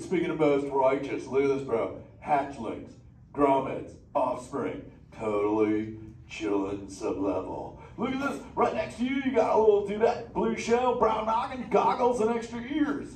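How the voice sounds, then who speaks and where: A man's voice: sits high, big pitch swings, takes it slowly, full character voice. A man voicing a laid-back male surfer sea turtle who drawls slang and teases the audience in a live show. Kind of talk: live interactive theater show. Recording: echoey theater from far off, noisy.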